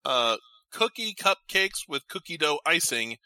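Male co-host, forceful bright tone, very animated delivery, casual list-reading among friends. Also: a little high, a little slow, no echo. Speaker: co-host reading out debate choices.

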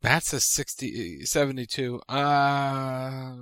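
Male co-host, casual banter, dry humor, mid-pitched voice, close dry sound. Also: slow pace, a bit bright, dry recording. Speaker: radio show co-host.

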